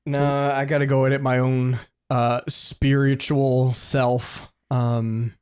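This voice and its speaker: A young man co-hosting a podcast in casual, excitable, sweary fan talk. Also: mid-range voice, takes it slowly, smooth. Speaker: podcast co-host. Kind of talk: casual chat.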